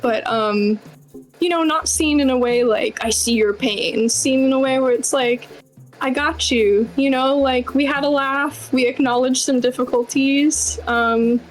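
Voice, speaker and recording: A woman's voice: sits high, steady tone, measured pace, poor audio. A woman giving casual, chatty interview answers as a game developer, with lots of informal asides. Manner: chatty, informal, many "like" and "you know" asides.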